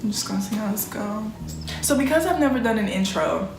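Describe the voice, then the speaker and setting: A woman's voice: medium pitch, lively intonation, a little fast. American woman, aged twenty, casual vlog chat, slangy, self-interrupting, roomy echo, background music. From Chicago, United States. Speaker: vlogger introducing herself. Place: small plain-walled room indoors. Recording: echoey room with music underneath.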